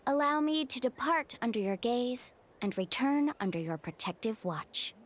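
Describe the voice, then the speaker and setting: A woman's voice: fairly high pitch, lively intonation, normal speed, slight background noise, lightly acted. A woman voicing a cheerful, confident young female guide in a video game, upbeat and encouraging. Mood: earnest, upbeat. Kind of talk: animated video game dialogue.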